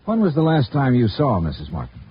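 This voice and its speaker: An actor playing a dry, probing insurance investigator in a 1950s radio detective drama, clipped and matter-of-fact. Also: male voice, medium pitch, huge pitch swings, a little fast, slightly rough, heavily acted. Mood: probing, businesslike. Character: dry, probing male insurance investigator narrating his case. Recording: dull, noisy old radio recording.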